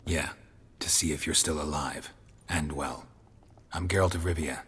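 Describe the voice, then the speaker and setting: Grizzled monster hunter, rumbling deep and raspy, dry and terse, in a reverberant space. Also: male voice, moderate pace, a bit bright, exaggerated voice. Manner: terse, dry, low-key. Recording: long hall reverb.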